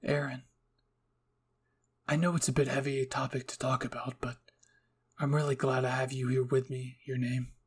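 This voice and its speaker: A man voicing a gentle, lonely teenage boy, soft-spoken and hesitant, in an anime roleplay reading. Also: medium pitch, little pitch movement, normal speed, pauses often, husky, close to an everyday voice. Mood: tender. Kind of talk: anime fan-fiction ASMR roleplay.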